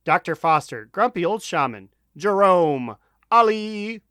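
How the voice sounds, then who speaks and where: A man's voice: high-pitched, sing-song, measured pace, sharp tone, clear, resonant tone, dry recording. A man hosting a podcast in casual chat, with a jokey, sweary manner. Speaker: podcast host.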